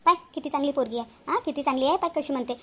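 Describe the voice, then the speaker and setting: A woman acts out a homely, bossy mother from a homemade cartoon. She speaks quickly in a high voice with a Vidarbha Marathi accent, repeating herself as she nags. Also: pushed and bright. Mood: reproachful. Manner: scolding, repetitive, homely. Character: bossy, nagging village mother of a grown son.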